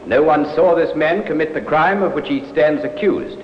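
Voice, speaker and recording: An actor playing a formal, probing prosecutor, declamatory and deliberate, in old radio drama style. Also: male voice, fairly high pitch, close to an everyday voice. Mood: solemn, declamatory. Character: formal, probing male Crown prosecutor in court. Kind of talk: 1950s radio drama acting. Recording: echoey hall, muffled noisy old radio.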